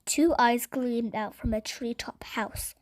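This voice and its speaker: A woman narrating a children's bedtime story in an expressive, deliberate, dramatic read-aloud style. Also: fairly high pitch, no room sound, studio quality.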